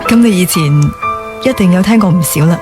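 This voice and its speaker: A woman's voice: on the low side, a little fast, over music, close to an everyday voice. An actress playing a guarded, polite young woman in naturalistic radio drama dialogue. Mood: awkward, curious.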